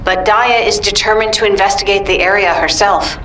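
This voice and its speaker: An actress voicing a protective, resolute mother in a video game cutscene, speaking calmly, firmly and tenderly. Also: mid-range voice, brisk, natural voice. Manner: calm, firm and tender. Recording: roomy and distant, with noise.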